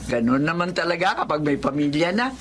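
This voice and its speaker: A woman's voice: deep voice, expressive, brisk, a bit bright. An actress playing a kindly, practical older mother-in-law in a Filipino radio drama, plain-spoken and motherly. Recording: clear background noise and ambience.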